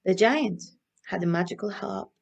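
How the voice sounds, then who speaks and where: A woman presenting stories and crafts to young children in an animated, encouraging way, often asking them questions. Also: mid-range voice, moderate pace, gravelly, slightly rough. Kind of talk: interactive children's storytelling and instruction.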